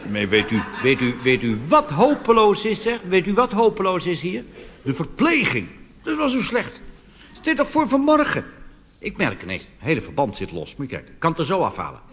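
A Dutch man doing a cabaret monologue on stage, delivering deadpan punchlines and jumping into animated put-on voices. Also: a little high, normal speed. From Netherlands. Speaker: cabaret comedian. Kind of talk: stand-up monologue. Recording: reverberant hall with audience noise.

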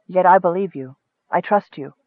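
A man's voice: sits high, moderate pace, no room sound, lightly acted. A man voicing a noble, resolute warrior woman in a fantasy audiobook, earnest and solemn, on a muffled recording. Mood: gentle, trusting.

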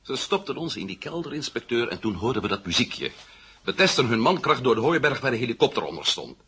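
Husky, animated adventurer. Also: male voice, medium pitch, fast talker, exaggerated voice. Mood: earnest, animated. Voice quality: husky, rough. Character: animated adventurer recounting his captivity to an inspector. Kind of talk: dramatic storytelling, radio play acting.

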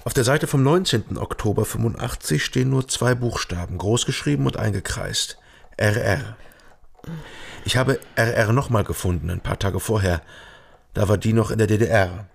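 Gravelly, terse East Berlin detective. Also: male voice, fairly low pitch. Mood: probing. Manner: terse, probing, matter-of-fact. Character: dogged middle-aged East Berlin private detective, ex-policeman. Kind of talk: radio crime drama dialogue and first-person narration.

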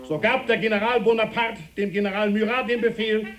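High-voiced French lieutenant making his report. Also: fairly even intonation, fairly quick, a bit bright, soft background music. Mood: formal, triumphant. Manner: formal military reporting. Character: French officer, a lieutenant reporting military victory. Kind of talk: German radio play acting.

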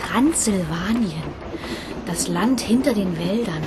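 An actress playing a refined, anxious young fiancée with a wondering, dramatic way of talking in a radio drama. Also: female voice, mid-range voice, lively intonation, slow. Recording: clear background noise.

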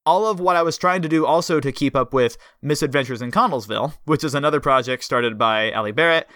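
A young man hosting a casual podcast, chatty and enthusiastic with a rambling flow. His voice is bright and crisp. The sound is dry and close. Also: mid-range voice, fast talker, no room sound. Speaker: podcast host.

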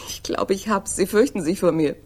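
A bold young woman played by an actress with a husky, medium-pitched voice. She is cool, probing and mocking, in sixties radio crime drama style. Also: moderate pace, darkish tone, close to an everyday voice. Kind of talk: 1960s German radio crime drama acting.

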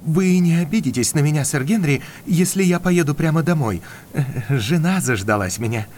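Casting: man playing an obliging, polite country doctor, animated and slightly hesitant, audio drama, light background noise. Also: on the high side, normal speed, lightly acted. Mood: courteous, apologetic. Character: courteous country doctor, obliging family friend.

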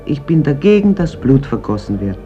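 A woman's voice: very deep voice, sing-song, moderate pace, music in the background. An actress playing a stern, reproachful middle-aged mother of a poor fishing family in a radio play. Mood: reproachful, weary. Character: stern, weary forty-year-old fisherman's mother, wary of war. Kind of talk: stage-like radio drama acting. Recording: muffled old radio recording, clear hiss.

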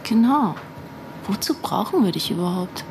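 An actress playing a curt, sharp-tongued young businesswoman in a naturalistic radio drama. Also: mid-range voice, animated, normal speed, noisy background, close to an everyday voice. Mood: sharp, impatient.

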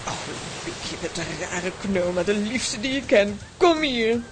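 A woman playing a fond but quick-tempered aunt in a children's audio play, theatrical in manner. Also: mid-range voice, lively intonation, background noise, lightly acted. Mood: indignant, then tender.

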